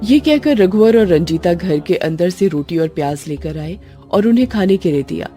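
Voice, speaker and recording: A woman's voice: lowish voice, lively intonation, normal speed, warm tone. A woman reading a scripted story as a narrator, in a storytelling style. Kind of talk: scripted narration of a Hindi moral horror story. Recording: music under the voice.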